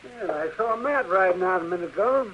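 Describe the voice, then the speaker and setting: An actor playing a nosy, teasing town doctor in a radio western, with a raspy, needling voice. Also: male voice, expressive, fairly quick, slight background noise. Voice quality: high, raspy. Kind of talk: 1950s radio western acting. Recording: dull old radio recording.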